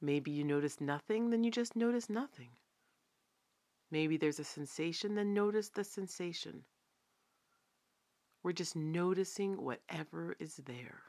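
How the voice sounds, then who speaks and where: A woman meditation teacher guiding a body scan in a calm, unhurried, gently instructive manner, leaving long pauses. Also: fairly low pitch.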